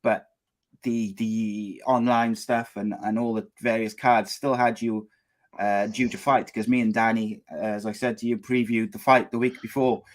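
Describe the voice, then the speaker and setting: A man's voice: fairly low pitch, flat delivery, moderate pace. A man hosting a live podcast show, chatty and loose, with frequent fillers and restarts as he introduces guests and runs the show. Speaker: podcast host.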